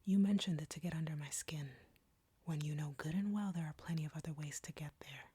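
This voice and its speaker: A woman playing an arrogant, flirty, menacing vampire in an intimate audio roleplay, with a breathy whisper and a taunting, deliberate delivery. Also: sits low, dark tone, no room sound. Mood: cold, bitter.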